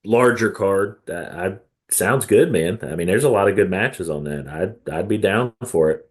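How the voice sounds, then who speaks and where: A man with a fairly low voice chats casually as a podcast co-host. He talks in a halting way, often doubling up on words. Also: animated, husky. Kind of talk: casual chat.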